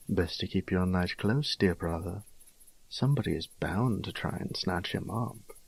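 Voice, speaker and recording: Man playing an airy, teasing young nobleman, husky-edged. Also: sits low, measured pace, full character voice. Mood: teasing, courteous. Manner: airy, flowery, mannered. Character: dapper, teasing young nobleman, fussy and breezily flamboyant. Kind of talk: audio roleplay drama.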